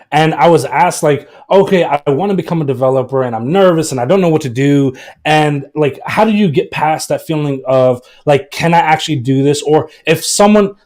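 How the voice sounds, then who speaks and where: A man speaking as a guest on a casual live stream, energetic and emphatic, in a motivational way. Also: on the high side.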